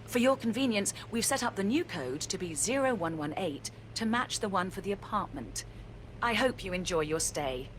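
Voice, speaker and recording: A woman's voice: medium pitch, lively intonation, normal speed, a little hiss or hum, close to an everyday voice. An actress voicing a courteous woman leaving a formal message for a guest. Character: formal female hotel staffer on a message. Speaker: game character.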